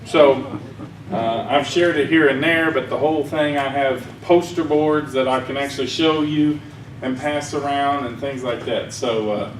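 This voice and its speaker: A man's voice: mid-range voice, fairly even intonation, unhurried, slight background noise. A man from Arkansas with a Southern accent, giving a rambling, folksy sermon talk at a conference. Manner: folksy, rambling, chuckles at own jokes. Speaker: conference speaker. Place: conference hall. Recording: echoey room, mic heard from far.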